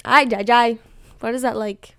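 Chatty young woman, crisp and dry. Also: fairly high pitch, moderate pace, no room sound. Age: young adult. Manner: chatty, animated and exasperated, many likes and ums. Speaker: podcast host. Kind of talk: casual solo podcast chat.

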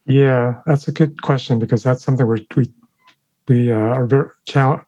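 A man, a sound designer whose first language is Chinese, answering interview questions in a thoughtful, halting way with many fillers and restarts. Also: mid-range voice, fairly even intonation, warm tone, no echo, lo-fi sound. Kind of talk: interview conversation.

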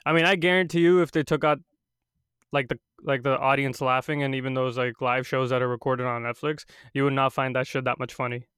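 Smooth-voiced blunt young male co-host. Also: medium pitch, steady tone, a little fast, a bit bright, dry recording, clean studio sound. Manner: blunt, teasing, emphatic. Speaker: podcast co-host. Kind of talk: casual chat.

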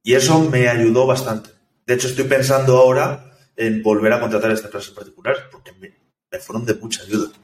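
A man, a software developer, talking casually in an interview, thoughtful and hesitant, with many restarts. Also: mid-range voice, fairly even intonation, fairly quick. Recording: echoey room, distant from mic.

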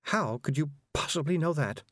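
A man voicing a kindly, nervous middle-aged woman witch, flustered and gentle. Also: fairly high pitch, wildly swooping pitch, normal speed, no echo, studio quality, voice pushed up, full character voice.

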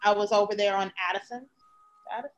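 An American woman from Chicago chatting casually, with an expressive, informal way of talking that leans on slang and swearing. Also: mid-range voice, fast, muffled recording. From Chicago, United States. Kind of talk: casual chat.

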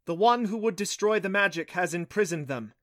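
A man voicing a solemn goddess who speaks prophecy in a heavy, slow, commanding voice for a fantasy story. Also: sits high, animated, a bit bright, no echo, clean studio sound, voice pushed up. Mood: ominous. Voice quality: heavy voice, hissing.

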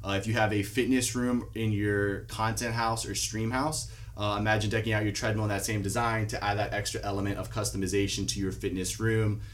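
A man's voice: on the low side, level tone, fairly quick. A man hosting a podcast, reading a sponsor spot and then interviewing a guest in an upbeat, enthusiastic way. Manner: upbeat, enthusiastic, short backchannels. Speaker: podcast host.

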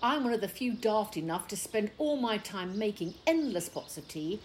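A woman's voice: mid-range voice, animated, moderate pace, darkish tone, slight background noise, natural voice. A woman acting in an audio play as a prim, easily offended older English village wife, scolding with precise, haughty British diction.

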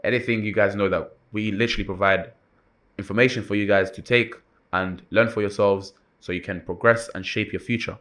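A young university student co-hosting a casual chat, talking in a relaxed way with fillers and repeated words. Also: male voice, on the low side, brisk. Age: young adult. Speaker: co-host.

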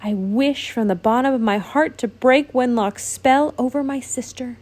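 A woman's voice: a little high, moderate pace, a little mellow, close to an everyday voice. A woman voicing a brave, loving young princess in a fairy tale read aloud. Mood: earnest.

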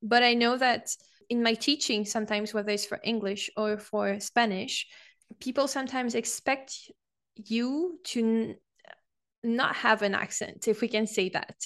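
A woman's voice: a little high, unhurried, a bit bright. A woman whose first language is French, hosting a casual podcast chat in English and hesitating often as she searches for words. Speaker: podcast host. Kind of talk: casual conversation.

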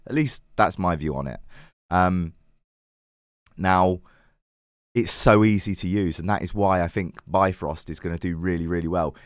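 A man with a very low voice, croaky and worn from a recent illness. He talks through crypto picks in a loose, chatty way, full of fillers and self-corrections. The sound is close and dry, with no room around it. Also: no room sound. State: croaky, recovering lost voice. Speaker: crypto channel host. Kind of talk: casual to-camera crypto commentary.

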